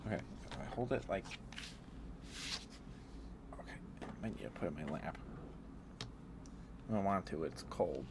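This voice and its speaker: A man's voice: low-pitched, animated, unhurried, long pauses. A man doing a live ASMR stream, chatting to viewers in an affectionate, apologetic, rambling way. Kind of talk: ASMR livestream chat with viewers. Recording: clear background noise, keyboard typing.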